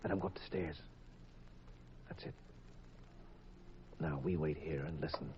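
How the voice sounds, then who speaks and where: An actor playing a cool, wry sleuth with a confident, tough-talking manner in a 1950s radio drama. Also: male voice, extremely low pitch, steady tone, a little slow, background noise. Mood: hushed, confident. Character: cool, wry male amateur sleuth, husband. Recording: dull vintage radio recording.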